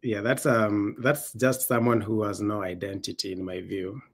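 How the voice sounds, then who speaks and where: A man's voice: fairly low pitch, level tone, moderate pace. A man from Kenya with a Kenyan accent, hosting a podcast in a casual, emphatic way and acting out quoted dialogue. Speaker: podcast host. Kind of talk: casual conversational storytelling.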